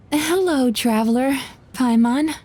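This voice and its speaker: A woman voicing a gentle, polite young woman who sounds tired and mildly exasperated, in a video game. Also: sits high, a little hiss or hum. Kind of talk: animated video game voice acting.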